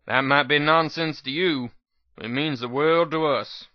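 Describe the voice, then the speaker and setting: A man voicing a folksy old wasteland doctor, pitched up. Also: measured pace, dry recording, muffled recording, lightly acted. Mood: weary, earnest. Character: scrawny old male unicorn wasteland doctor, grimly amused, world-weary. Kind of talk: dramatic reading of fan fiction.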